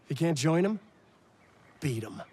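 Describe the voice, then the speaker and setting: A man's voice: fairly high pitch, big pitch swings, moderate pace, warm tone, breathy edge. A man playing a cocky, petty evil twin villain in a campy video game, taunting and theatrical. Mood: smug, taunting. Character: smug, sneering semi-evil twin brother villain, petty and jealous.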